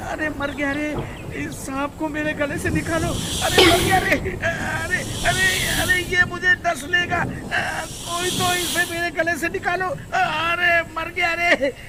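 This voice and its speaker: A man's voice: very high-pitched, flat delivery, slow pace, few pauses, over a noisy crowd. A man playing a frightened, wailing smuggler merchant in a cartoon moral story. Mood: panicked. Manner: wailing, pleading. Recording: very noisy background.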